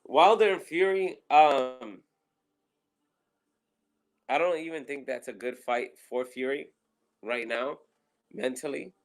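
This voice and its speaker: A man's voice: mid-range voice, slow, pauses often. A man with a Puerto Rican accent, co-hosting a podcast in casual, rambling, profane chat. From Puerto Rico. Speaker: podcast co-host.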